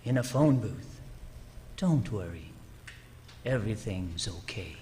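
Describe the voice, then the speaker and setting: Actor as obedient hitman, lowish voice, unhurried, radio thriller, faint noise. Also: lively intonation, a little mellow. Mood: cool, matter-of-fact. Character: cool, obedient hired killer confirming plans. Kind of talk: old-time radio suspense acting.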